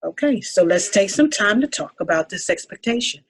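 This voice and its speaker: A woman playing a composed, patient couples counselor in a dramatic table read, talking in a measured, instructive way. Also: mid-range voice, expressive, husky. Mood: calm, instructive.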